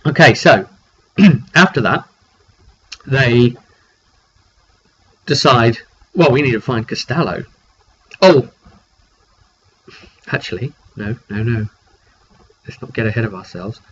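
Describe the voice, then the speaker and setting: A man's voice: mid-range voice, animated, slow, pauses often, fairly bright tone, slightly rough. A British man, a game master, casually recounting a tabletop roleplay session in a chatty, rambling way and acting out what players said. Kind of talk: casual storytelling monologue. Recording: dull lo-fi mic with faint noise.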